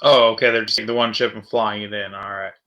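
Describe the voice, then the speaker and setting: A man's voice: lowish voice, flat delivery, fast, sharp tone, highs cut off. A man chatting casually as a player in a tabletop game.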